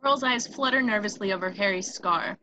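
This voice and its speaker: A woman's voice: medium pitch, a little fast, pushed and bright. A woman reading scripted screenplay stage directions as narrator, on a dull, noisy home recording.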